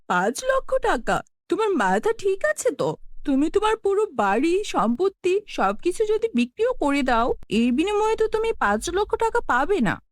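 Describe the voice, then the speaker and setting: A woman's voice: very high voice, very animated, moderate pace, no room sound, clean studio sound, pitched above the performer's own voice. A woman playing a haughty, scornful village landlady in a cartoon fairy tale, condescending and incredulous.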